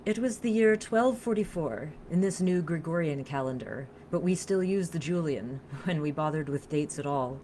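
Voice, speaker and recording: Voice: medium pitch, lively intonation, normal speed, hardly any pauses, mellow, a little hiss or hum, natural voice. A narrator voicing an earnest, solemn female vampire in a story, with a flowing, formal French accent. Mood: solemn, reminiscing.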